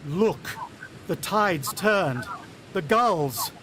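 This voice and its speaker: Grave, anxious father, high-pitched and animated. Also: drawn-out words, background noise, full character voice. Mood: uneasy, urgent. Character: worried, watchful country father and husband, haunted narrator. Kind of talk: vintage radio drama acting, first-person narration.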